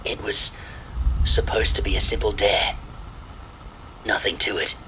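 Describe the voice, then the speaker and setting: A man's voice: fairly low pitch, unhurried, slight background noise, rough recording. A man reading a scripted first-person horror story, with rain and thunder sounds behind his voice. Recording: faint steady rain behind the voice. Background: rain and thunder sound effects.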